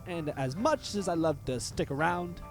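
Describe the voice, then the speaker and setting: A man's voice: on the high side, animated, normal speed. A man voicing a smug, theatrical crime boss in a cartoon fan dub, drawling with mock politeness. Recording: fan dub playback under loud music. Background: loud background music.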